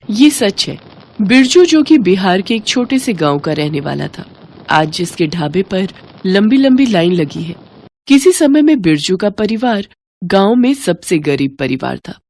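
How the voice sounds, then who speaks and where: A woman's voice: mid-range voice, sing-song, measured pace, darkish tone. A woman narrating a scripted moral story, with slight noise in the recording.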